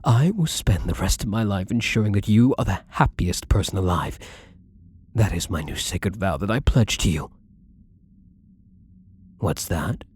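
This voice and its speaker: A man playing a wealthy gambler, possessive and lovestruck, adoring yet commanding, in an intimate, breathy ASMR romance roleplay that often drops toward a whisper. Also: low voice, lively intonation, unhurried, pauses often, a little mellow, full character voice. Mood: tender, devoted.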